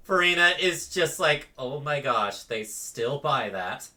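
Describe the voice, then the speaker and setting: Very low-voiced, animated woman streamer. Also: slow, slight background noise. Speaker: streamer reacting to a game. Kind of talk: casual reaction commentary.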